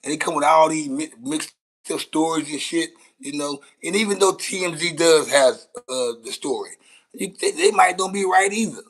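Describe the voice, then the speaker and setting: A man's voice: fairly high pitch, normal speed, a bit bright, poor audio. An American man giving casual livestream commentary, with a blunt, slangy, repetitive way of talking. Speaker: content creator reacting to news clips.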